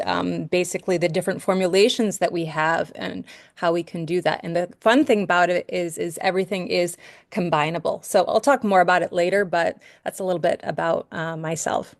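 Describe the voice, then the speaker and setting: A woman's voice: lowish voice, dry recording, studio quality. A middle-aged woman from New York giving an upbeat skincare training webinar over a video call, with frequent ums and restarts. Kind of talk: webinar presentation.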